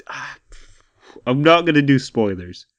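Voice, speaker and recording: A man's voice: medium pitch, very animated, slow pace, highs cut off. A man co-hosting a casual pop-culture podcast, talking in an opinionated, emphatic and rambling way. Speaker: podcast co-host.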